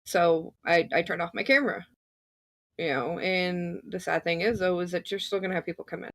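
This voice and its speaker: A woman guest with a low voice, talking in a matter-of-fact way and often saying "you know". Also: brisk, gravelly, no room sound, clean studio sound. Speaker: streamer guest. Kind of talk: casual panel chat.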